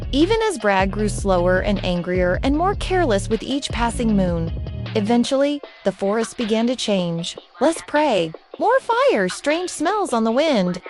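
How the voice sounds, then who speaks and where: A woman's voice: a little high, big pitch swings, a little slow, darkish tone, soft background music. A woman reading a scripted storybook tale as a narrator.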